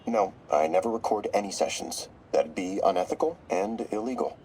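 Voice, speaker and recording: An actor playing a shrewd, corruptible therapist in a video game, measured, probing and knowing, with slight noise behind him. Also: male voice, fairly low pitch, mellow, close to an everyday voice, rough recording. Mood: guarded. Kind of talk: video game voice acting, dramatic dialogue.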